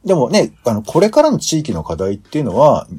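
A man's voice: mid-range voice, huge pitch swings, a little fast, dark tone, husky. A man co-hosting a podcast in a casual planning chat, feeling his way through roundabout, hesitant phrasing. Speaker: podcast co-host.

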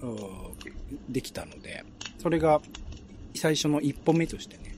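A man hosting a relaxed chat, talking politely in a hesitant, roundabout way. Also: a little high, normal speed, slight background noise. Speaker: host.

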